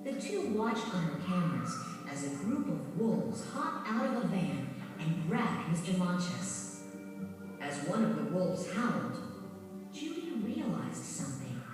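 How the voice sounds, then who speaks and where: A woman reading a scripted children's story in a measured, dramatic storytelling manner. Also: lowish voice, sing-song. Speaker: storybook narrator. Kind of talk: scripted children's read-along narration. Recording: very echoey, distant, music behind. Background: music.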